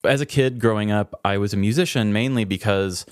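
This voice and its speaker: A man's voice: on the low side, fairly quick, dry recording, clean studio sound. A man co-hosting a podcast, chatting casually in a candid, introspective and wordy way. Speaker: podcast co-host. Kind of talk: casual reflective podcast chat.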